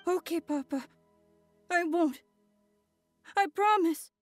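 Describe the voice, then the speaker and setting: A small, trusting child in a video game drama. The voice is tiny and extremely high, with timid, innocent, unhurried delivery. Faint music plays underneath. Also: female voice. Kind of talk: dramatic game dialogue acting.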